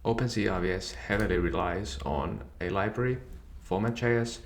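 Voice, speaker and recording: A man whose first language is Finnish, calmly and methodically walking through a software tutorial. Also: on the low side, moderate pace. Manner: calm, methodical explaining. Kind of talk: screen-share software tutorial.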